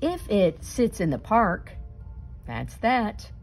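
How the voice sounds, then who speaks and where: A woman reading a children's picture book aloud expressively, with deliberate, dramatic emphasis and suspenseful pauses. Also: medium pitch, big pitch swings, faint music behind.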